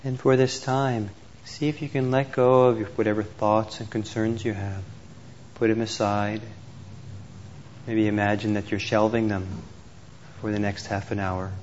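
Low-voiced man guiding meditation, muffled noisy recording. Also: flat delivery. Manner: gentle, unhurried, with pauses. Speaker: meditation teacher. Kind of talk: guided meditation instruction to a group. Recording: dull lo-fi audio with clear background noise.

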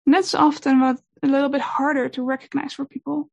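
A woman whose first language is Dutch gives a gentle, unhurried talk on meditation, pausing often with fillers. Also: high-pitched, little pitch movement, creaky. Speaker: meditation teacher.

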